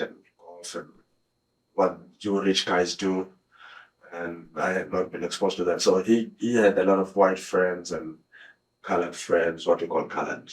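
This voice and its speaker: Low, flat South African man. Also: poor audio. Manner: hesitant, halting, with many restarts. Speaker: suspect in a police interrogation. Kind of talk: recounting events under questioning. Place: police interview room.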